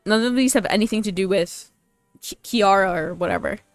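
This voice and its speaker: A woman's voice: medium pitch, a little fast, no echo. A woman gaming YouTuber giving casual, informal playthrough commentary in a chatty way. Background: faint game music.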